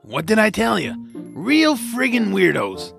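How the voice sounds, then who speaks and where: 남자가 연기하는 능글맞고 욕심 많은 트롤. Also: male voice, sits high, wildly swooping pitch, unhurried, gravelly, music in the background, voice pushed up, exaggerated voice. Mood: scheming. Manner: flippant, bossy, teasing. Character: greedy, lazy, cheeky male troll companion. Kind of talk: family audio drama acting.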